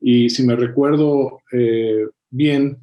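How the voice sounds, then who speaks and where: A man speaking as a panel guest in an analytical discussion, thoughtful, with many filler pauses and self-corrections. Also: mid-range voice, steady tone, dull audio.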